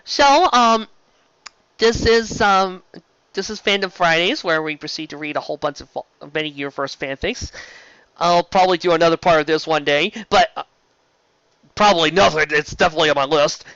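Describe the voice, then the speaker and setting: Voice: medium pitch, moderate pace, fairly bright tone, clear, resonant tone, no room sound, muffled recording. A show host giving a casual, rambling fan review in a gushing, emphatic way, often tripping over words and restarting. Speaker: host of a fan fiction live-read show.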